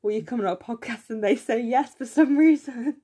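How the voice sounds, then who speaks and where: A young woman co-hosting a podcast in casual chat, enthusiastic and full of fillers, her voice slightly croaky, recorded on a phone in her room. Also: fairly high pitch, normal speed, mellow. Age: young adult. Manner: chatty, enthusiastic, many fillers. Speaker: podcast co-host.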